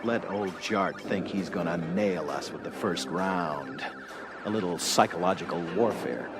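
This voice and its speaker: A man's voice: deep voice, a little slow. An actor playing a bold, cocky male missile base captain with a swaggering, commanding manner in an audio adventure. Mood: cocky, taunting. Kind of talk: children's audio adventure acting. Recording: music bed under voice. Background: music.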